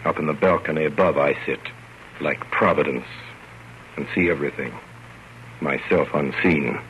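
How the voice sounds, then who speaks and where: A man playing a fond, wry, gentlemanly father telling his story with measured theatrical delivery in 1930s radio style. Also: very deep voice. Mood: wry narration. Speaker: narrator. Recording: muffled, noisy old radio broadcast.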